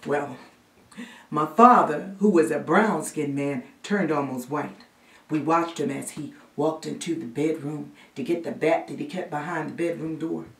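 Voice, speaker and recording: A woman playing a storyteller who recounts a spooky childhood family tale with hushed, dramatic suspense. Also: deep voice, measured pace. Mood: suspenseful. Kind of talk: theatrical storytelling monologue. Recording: roomy echo, distant microphone.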